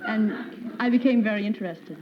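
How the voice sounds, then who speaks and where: A woman's voice: medium pitch, fairly quick. A woman in her twenties from Indiana, a game show contestant telling a story politely at a stand mic in a television studio. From Fort Wayne, Indiana. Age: 20s. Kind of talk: unscripted chat. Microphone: stand mic on stage. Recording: dull 1950s TV sound with faint hiss.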